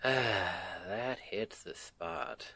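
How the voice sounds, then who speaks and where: A man's voice: low voice, slight background noise, heavily acted. A man playing a doting country husband with a Southern accent in an intimate ASMR roleplay, folksy and affectionate. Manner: affectionate, unhurried, folksy, gently teasing.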